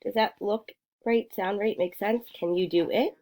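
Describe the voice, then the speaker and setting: A woman's voice: mid-range voice, steady tone, normal speed. Woman, mother, casual home talk, encouraging, instructive, short prompting questions, faintly noisy recording with clicks. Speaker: mother coaching her child's reading practice.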